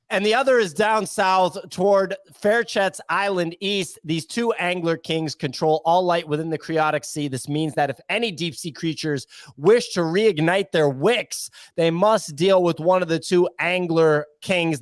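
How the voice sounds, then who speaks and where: A man hosting a live podcast with lively banter and an emphatic radio-announcer delivery. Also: on the high side, no room sound, studio quality. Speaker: podcast host.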